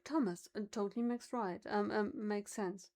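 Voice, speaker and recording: A woman's voice: mid-range voice, normal speed, darkish tone. A woman with a German accent, a native German speaker, chatting casually on a livestream and musing aloud over how words are pronounced.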